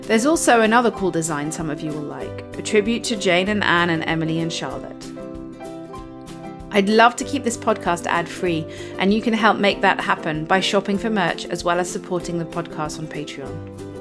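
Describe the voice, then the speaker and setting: A woman hosting a book podcast, reading a scripted intro and then interviewing her guest with enthusiasm. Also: on the low side. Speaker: podcast host.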